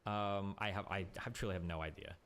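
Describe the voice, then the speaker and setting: A male streamer hosting a heated online debate, rapid, argumentative and sarcastic. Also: sits low, steady tone, a bit bright, clean tone. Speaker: streamer host.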